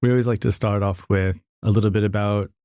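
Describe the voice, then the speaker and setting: A man's voice: on the low side, steady tone, fast, warm tone, clean tone, no echo, clean studio sound. A man hosting an interview podcast, asking questions in a friendly, conversational way. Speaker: podcast host.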